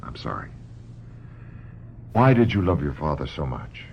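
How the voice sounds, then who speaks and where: Very deep, gravelly psychiatrist. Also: male voice, sing-song, full character voice. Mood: gentle, probing. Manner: measured, probing, wry. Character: calm, probing male psychiatrist, wry and kindly. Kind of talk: old-time radio mystery acting. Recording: dull lo-fi radio recording, faint noise.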